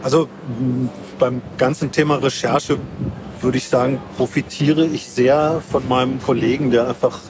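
A man's voice: mid-range voice, steady tone, moderate pace, very noisy. A man interviewed as a comic creator, explaining things in casual, colloquial talk.

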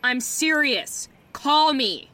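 An actress playing a wistful, tender partner who pleads in an audio drama. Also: female voice, high voice, animated, slow pace, sharp tone, soft background music, full character voice. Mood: longing, pleading.

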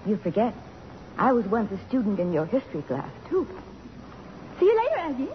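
A woman's voice: on the high side, huge pitch swings, a little fast. An actress playing a shrewd, genial married woman in 1950s radio theater style. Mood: knowing, breezy. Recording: muffled, noisy old radio broadcast.

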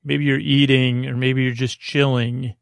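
A man hosting a bedtime podcast in a creaky voice, meandering and halting as he loses his thread and circles back. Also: medium pitch, level tone, no room sound. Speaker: podcast host. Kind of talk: rambling bedtime podcast monologue.

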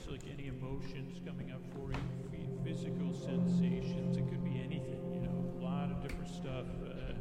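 Creaky guy rambling people to sleep, keeps repeating himself, super echoey with music going. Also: mid-range voice, little pitch movement. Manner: meandering, halting, repeating himself. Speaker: sleep podcast host. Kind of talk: rambling bedtime monologue. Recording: long echoing reverb under loud music.

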